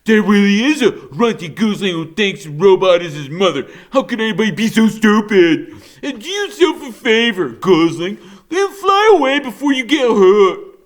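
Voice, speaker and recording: A man's voice: high-pitched, sing-song, normal speed, voice pushed up. A man voicing a young female bear bully in a children's storybook, taunting and snarling as she talks. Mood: mocking glee.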